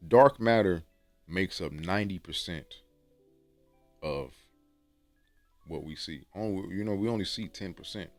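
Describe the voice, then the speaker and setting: A man from Texas with a deep, resonant voice, giving rambling, slangy self-improvement advice to camera and often tagging "okay?". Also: no room sound. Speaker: dating and self-improvement vlogger. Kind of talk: casual talk to camera. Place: room with books on shelves.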